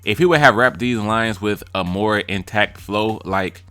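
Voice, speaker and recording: A man's voice: on the low side, unhurried, sharp tone, no echo. A young man giving blunt, joking casual commentary as a YouTube music reviewer, slipping into mimicry of rappers' lines. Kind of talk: casual commentary ranking freestyles.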